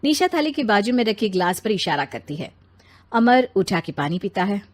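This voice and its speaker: A woman's voice: medium pitch, very animated, moderate pace. A woman narrating a scripted children's moral story.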